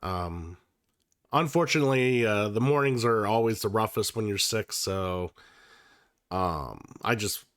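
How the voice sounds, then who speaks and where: A man's voice: lowish voice, expressive, measured pace, a bit bright, no room sound, studio quality. A man hosting a live stream of board games, chatting casually while sick and sounding slightly nasal, swearing freely. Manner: casual and profane, with many fillers and restarts. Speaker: livestream host. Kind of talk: casual livestream chat.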